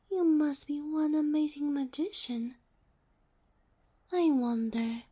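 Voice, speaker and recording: A woman voicing a sweet, curious young kitsune fox girl in a soft ASMR roleplay, breathy and often near a whisper, speaking closely to the listener with naive, hesitant wonder. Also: high voice, exaggerated voice.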